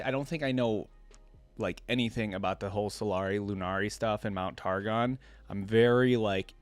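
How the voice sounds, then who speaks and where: A man's voice: on the low side, no room sound. A man hosting a reaction video, reading a story aloud and adding casual, musing asides.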